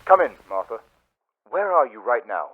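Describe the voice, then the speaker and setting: A man's voice: medium pitch, sing-song, normal speed, phone-quality sound. A man playing an impatient, suspicious mission handler who gives urgent orders over a radio communicator in a sci-fi drama. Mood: urgent, bossy. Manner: urgent, commanding. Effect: radio communicator filter. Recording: band-limited radio comms sound.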